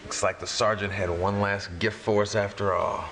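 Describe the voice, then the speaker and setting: An actor playing a wry, beleaguered resistance hacker in a video game cutscene, complaining in slang. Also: male voice, fairly low pitch, fairly even intonation, normal speed, vocal fry, a bit bright. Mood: relieved, wry. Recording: noisy game cutscene audio.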